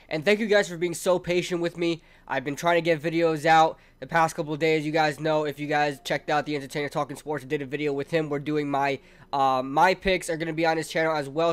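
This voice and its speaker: A man's voice: on the high side, clear, resonant tone. A young man hosting a casual football preview, chatty with lots of fillers and a congested, nasal sound from a cold. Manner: chatty, fan-like, frequent fillers. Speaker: sports channel host.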